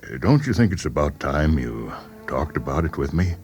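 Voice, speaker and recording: An extremely deep-voiced male doctor, acted by a radio reader, humors his patient with chummy, patronizing patience. He hesitates gently as he probes, in a dramatized story with music playing behind him. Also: big pitch swings, moderate pace. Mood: coaxing, jovial. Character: affable male doctor coaxing a stubborn psychiatric patient. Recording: voice over background music.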